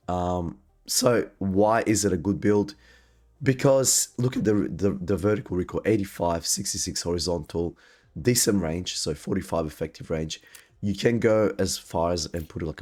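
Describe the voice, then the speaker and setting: A man's voice: deep voice, darkish tone. A man hosting a gaming video, giving upbeat, hyped recommendations with frequent ums while sick and losing his voice. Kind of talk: gaming gear guide commentary.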